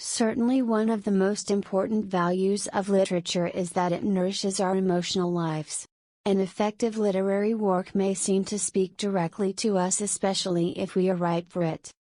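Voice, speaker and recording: A woman's voice: mid-range voice, steady tone, normal speed, dark tone, no echo. A woman reading a scripted passage aloud as a model reader for exam practice. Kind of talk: scripted read-aloud narration.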